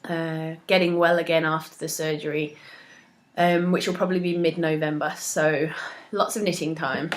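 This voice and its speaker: A young woman talking on her own about her knitting and her group, her voice sitting a touch low. She sounds a little stuffed up from a cold and chats along loosely, full of ums and small laughs. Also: steady tone, normal speed, a little hiss or hum. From moved to Australia from elsewhere. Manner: chatty, warm-hearted, many ums and soft laughs. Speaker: knitting podcast host. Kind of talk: casual solo chat to camera.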